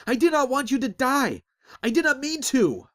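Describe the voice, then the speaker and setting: A man voicing a young street thief who cries out loud and high, frantic and desperate. The voice leaps well above the reader's own and stays pushed and loud, from a storybook reading. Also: sing-song, normal speed, full character voice. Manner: shouting, desperate.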